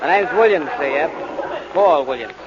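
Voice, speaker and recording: An actor playing a smug, menacing hired thug who makes his pitch in slangy tough-guy talk in a 1940s radio crime drama. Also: lively intonation, normal speed, natural voice. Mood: smug, threatening. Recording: noisy, muffled vintage 1940s radio recording.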